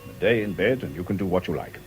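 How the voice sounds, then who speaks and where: An actor in a radio drama playing an unflappable, paternal doctor, measured and wry with a gently scolding manner. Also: male voice, deep voice, soft background music, close to an everyday voice, poor audio.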